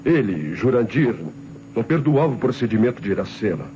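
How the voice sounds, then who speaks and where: A man's voice: mid-range voice, very animated, brisk, dark tone. An actor playing a troubled, proud middle-aged father suspected of murder, speaking formally in an old radio crime drama. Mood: pained, proud. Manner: earnest, formal, pained. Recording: muffled, noisy vintage radio recording.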